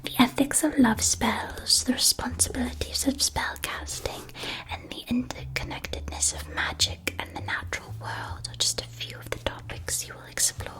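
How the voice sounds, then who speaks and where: Woman playing a whispering, gentle witch shopkeeper. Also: high-pitched, low-quality recording. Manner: soothing, slow, precise, theatrical storytelling. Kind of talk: whispered ASMR roleplay.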